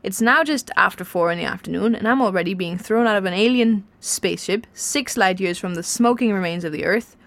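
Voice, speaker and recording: A woman's voice: medium pitch, moderate pace, no room sound, natural voice. Woman playing a flustered, indignant human man. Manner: spluttering, complaining, hesitant.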